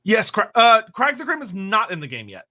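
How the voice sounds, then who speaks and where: A young man co-hosting a live stream, his voice pitched high and bright. He rambles with eager enthusiasm, swearing casually as he reads viewer questions and riffs on fantasy lore. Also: huge pitch swings, brisk. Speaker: co-host reading viewer questions.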